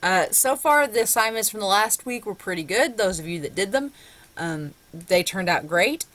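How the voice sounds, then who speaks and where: A woman's voice: medium pitch, lively intonation, normal speed, fairly bright tone. A woman with a Southern US accent, a college English instructor giving a conversational recorded lecture with frequent ums. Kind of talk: recorded lecture with screen share.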